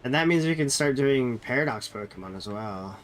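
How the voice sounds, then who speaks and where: A man's voice: mid-range voice, expressive, brisk, a little hiss or hum. A man streaming a game while sick with a cold, sounding nasal and chatting loosely with viewers, often correcting himself. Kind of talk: casual live-stream chat.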